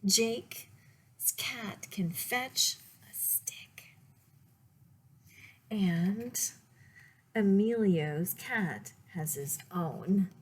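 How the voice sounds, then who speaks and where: A woman reading a picture book aloud for story time in a deliberate, expressive way, pausing to speak to her young listeners. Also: mid-range voice, husky. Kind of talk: read-aloud children's storytime, with asides to listeners.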